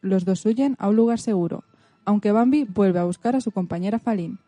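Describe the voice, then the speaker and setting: A woman's voice: mid-range voice, a little fast, dark tone, no room sound. A woman reading a story summary as a scripted narration.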